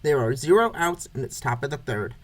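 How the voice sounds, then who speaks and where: Clipped baseball announcer with swooping pitch. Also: sing-song, brisk. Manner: short clipped announcer phrases, repeated score calls. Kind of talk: scripted sports commentary for a comic dub.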